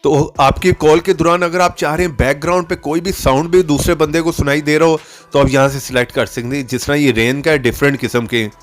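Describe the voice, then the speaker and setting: A man's voice: on the high side, steady tone, brisk, few pauses. A man giving a casual step-by-step app tutorial voice-over, often checking in as he instructs. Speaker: tutorial channel host. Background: background music.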